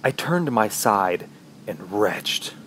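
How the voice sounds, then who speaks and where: An actor playing an injured, panicked man alone in the wilderness, narrating his ordeal in halting, theatrical radio horror style. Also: on the low side, sing-song, heavy background noise. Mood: exhausted, suffering. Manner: halting, anguished, theatrical delivery. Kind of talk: dramatic first-person radio horror narration. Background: music.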